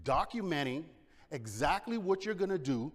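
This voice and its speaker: A middle-aged man from the Bahamas, giving an energetic conference presentation and asking the audience questions. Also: fairly high pitch, normal speed. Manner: energetic, engages audience with questions and shows of hands. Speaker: conference speaker. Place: large conference hall. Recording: long hall reverb.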